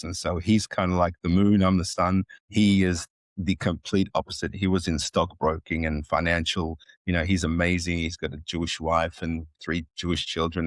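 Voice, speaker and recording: A man's voice: low voice, no room sound, studio quality. A man in his fifties from Moldova with an Australian accent, a guest chatting casually, rambling and joking with many restarts. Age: 50s. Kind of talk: casual interview chat.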